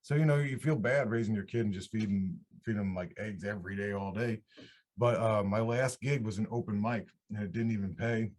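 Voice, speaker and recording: A man's voice: lowish voice, brisk, a little hiss or hum. A man in his thirties from upstate New York, a country singer telling his story in a casual, rambling interview. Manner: rambling, relaxed, restarts mid-sentence. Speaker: country singer-songwriter guest.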